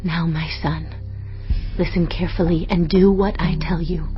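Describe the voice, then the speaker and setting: A woman's voice: on the low side, fairly even intonation, moderate pace, close to an everyday voice. A woman playing a scheming, insistent mother in a dramatized scripture, urgent and conspiratorial. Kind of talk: dramatized audio scripture acting. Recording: dull muffled audio with music.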